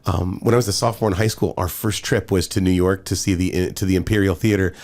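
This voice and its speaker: A lower-voiced man hosting a parish podcast as its priest. He chats casually and eagerly, rising and falling as he tells stories, with lots of fillers along the way. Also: fast talker. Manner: animated, enthusiastic, many fillers.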